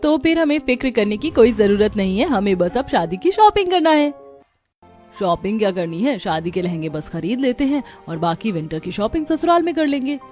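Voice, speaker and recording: A woman voicing young, expressive newlywed sisters in dramatized story dialogue. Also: fairly high pitch, moderate pace, soft background music. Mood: eager, dreamy.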